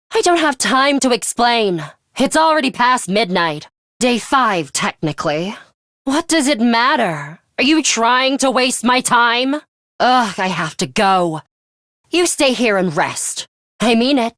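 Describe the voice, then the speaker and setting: An actress playing a stern, aloof young woman in a video game, speaking in a curt, commanding, deliberate way. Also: on the high side, fairly bright tone, no room sound, clean studio sound. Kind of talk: scripted video game character lines.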